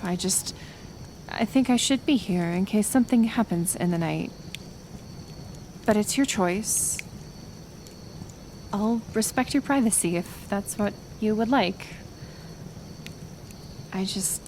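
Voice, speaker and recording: A woman playing a kind, stubborn healer of the woods in an intimate roleplay audio, speaking softly and hesitantly, with soothing pauses. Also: mid-range voice, creaky, background noise. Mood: protective, hesitant. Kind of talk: roleplay audio acting, intimate one-sided dialogue.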